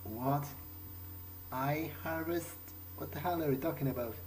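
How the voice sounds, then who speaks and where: A man's voice: a little high, measured pace, over music, poor audio. A man playing a video game in character, commenting in a hesitant, understated way as if musing to himself. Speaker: let's play host.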